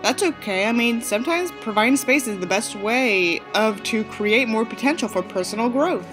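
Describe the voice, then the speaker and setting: A woman's voice: fairly high pitch, animated, moderate pace, music in the background, lightly acted. A woman reading an earnest, self-important teenage boy in an audiobook, stiff and overly philosophical. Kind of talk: audiobook character dialogue.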